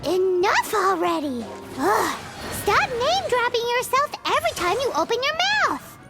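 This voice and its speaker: A woman voicing a tiny, blunt and impatient fairy companion in a video game, with loud game music behind her. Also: extremely high pitch, very animated, normal speed, exaggerated voice. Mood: exasperated. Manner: blunt, exasperated.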